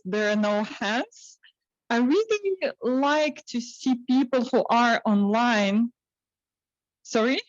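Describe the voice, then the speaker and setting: A woman hosting an impromptu speaking session, haltingly putting repeated questions to the audience. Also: fairly high pitch, no room sound. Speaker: table topics host at a speaking club. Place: club meeting with online participants. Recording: dull, muffled lo-fi sound.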